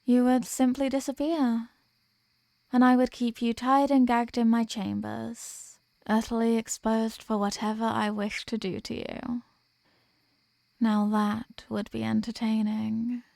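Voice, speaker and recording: A woman performs a possessive female vampire in an intimate ASMR roleplay. Her voice sits fairly high and moves very slowly, close and dry. She is dominant, teasing and condescending, with formal, elegant phrasing. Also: flat delivery, gravelly, darkish tone, no echo. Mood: menacing, gloating.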